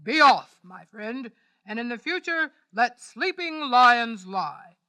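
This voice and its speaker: A woman voicing a big, proud male lion in a children's storybook, slow and booming. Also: animated, bright, forceful tone, no echo, full character voice. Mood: dismissive.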